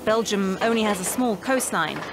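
A woman's voice: medium pitch, expressive, normal speed, noisy background. A woman reporter reading scripted narration for a news documentary. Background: background music.

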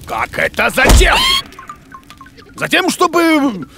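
Gravelly, swooping cartoon monster teacher. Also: male voice, extremely high pitch, sing-song, slow, music in the background, exaggerated voice. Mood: scheming, blustering. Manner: exclamatory, theatrical, repeats words, chuckles. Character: boisterous bearded monster teacher, foolish, self-assured, playful. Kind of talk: children's cartoon voice acting.

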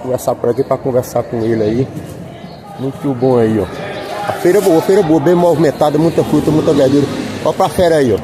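A man's voice: medium pitch, brisk. A man filming a casual walking vlog at an outdoor market, chatty and repeating his phrases like a hawker. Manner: chatty, hawker-like repetitions, calls people "pai". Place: outdoor covered street market. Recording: busy market crowd behind.

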